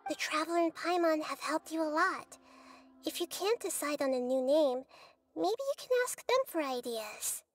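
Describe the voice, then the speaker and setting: A woman voicing a gentle, wise, childlike goddess who speaks softly and earnestly, in a video game. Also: high-pitched, moderate pace. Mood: kind. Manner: soft, calm and earnest.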